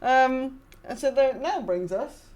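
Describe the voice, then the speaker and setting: A woman's voice: sits high, lively intonation, slow pace, a little mellow. A woman co-hosting a gaming podcast in a casual, wry style with hesitant phrasing. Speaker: podcast co-host.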